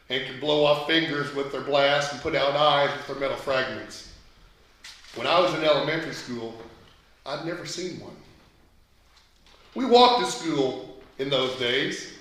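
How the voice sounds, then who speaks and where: A man's voice: on the high side, a little slow, long pauses, a bit bright. A middle-aged man reading a scripted memoir story aloud as its author. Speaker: author reading own work. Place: indoor event room at a book launch. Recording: very echoey room recording.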